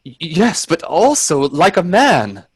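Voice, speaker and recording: A man playing an earnest, uneasy gentleman adventurer in a radio play, telling his tale with theatrical, hesitant suspense. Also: a little high, wildly swooping pitch, slow pace, slightly rough, no room sound, heavily acted. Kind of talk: dramatic radio play narration and dialogue.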